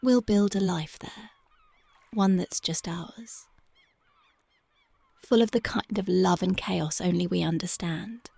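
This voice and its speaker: A voice actress playing a young punk girlfriend, speaking softly and whispering in a dreamy, intimate, affectionate ASMR roleplay close to the mic. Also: fairly low pitch, takes it slowly, vocal fry, pauses often, husky, no echo, exaggerated voice. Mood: tender dreamy. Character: young punk woman, tender devoted girlfriend.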